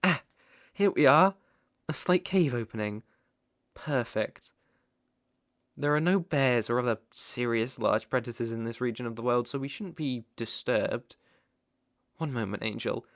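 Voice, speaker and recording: A British man playing a devoted, possessive stalker in an intimate ASMR roleplay, tender and wry with halting phrasing. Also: medium pitch, fairly bright tone, dry recording, studio quality. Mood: calm, practical. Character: devoted, possessive male stalker, outdoorsy and softly protective.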